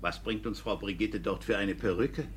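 An actor playing a stern, high-ranking court inspector who questions people in a formal, commanding way in a classic radio play. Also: male voice, fairly even intonation, normal speed, slight background noise, natural voice. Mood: probing, stern. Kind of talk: classic stage comedy radio play acting.

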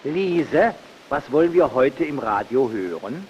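An excitable husband fiddles with his radio, chatty and very lively in pitch. It sounds like an old radio sketch on a dull recording with faint hiss. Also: takes it slowly. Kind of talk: 1920s radio sketch acting. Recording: dull hissy archive recording.

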